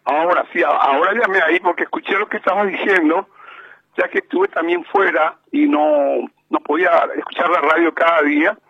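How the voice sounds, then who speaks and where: Paraguayan guy calling in by phone, gravelly husky voice, slow and halting, muffled line. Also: fairly high pitch, no echo. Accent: Paraguayan Spanish. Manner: slow and measured, with many hesitations. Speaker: radio commentator calling in. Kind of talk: slow deliberate radio commentary. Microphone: telephone.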